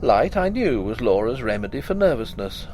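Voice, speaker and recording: A man's voice: medium pitch, very animated, normal speed, a little mellow, a little hiss or hum. Man narrating a gothic ghost story. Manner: dramatic, suspenseful reading.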